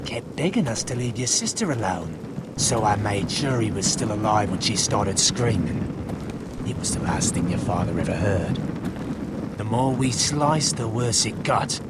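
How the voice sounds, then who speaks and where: An actor playing a sadistic, vengeful soldier villain in a video game, sneering and taunting. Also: male voice, fairly low pitch, animated, a little slow, very noisy. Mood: cruel, gloating. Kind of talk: dramatic game dialogue.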